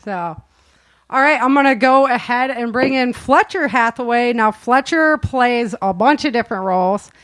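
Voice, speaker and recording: A woman's voice: on the high side, normal speed, a bit bright, no room sound. A woman hosting a casual cast interview, chatty and informal, often chuckling as she talks. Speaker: host and producer of an audio drama.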